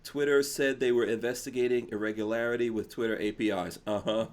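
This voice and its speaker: A man hosting a podcast in a casual chat, reading out viewer comments in a relaxed, rambling way. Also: medium pitch, a little fast. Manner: relaxed, chatty, drifts into mumbled asides. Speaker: podcast host.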